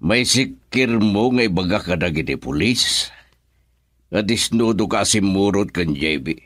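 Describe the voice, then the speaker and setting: A man's voice: sits low, animated, a little slow, fairly bright tone, no echo, studio quality, heavily acted. A man with an Ilocano accent playing an assertive man in a family dispute in a radio drama. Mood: stern.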